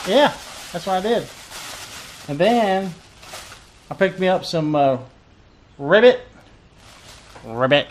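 Man, 30s, slightly high voice, loose rambling vlog delivery with lots of filler, desk camera, faint noise. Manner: rambling, repeats phrases, many fillers. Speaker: fishing vlogger. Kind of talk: casual unboxing chat. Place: cluttered tackle room indoors.

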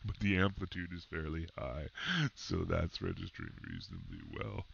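A man with a very low voice talking casually and halting a bit as he explains, recorded indoors on a headset microphone. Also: very animated, gravelly, muffled recording, a little hiss or hum. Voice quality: very low, deep voice. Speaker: person testing a microphone. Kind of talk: casual spoken mic test. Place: indoors at a computer.

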